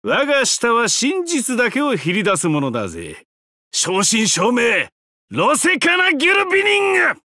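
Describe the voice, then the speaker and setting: A man's voice: extremely high pitch, huge pitch swings, pushed and bright, no echo, studio quality, full character voice. An actor voicing a depraved, mocking trickster giant-god held prisoner in a game, theatrical and taunting, drawing out his words. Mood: gleeful, vicious. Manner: theatrical, taunting, drawn-out words, sudden swoops and cackles. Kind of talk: theatrical game character voice lines.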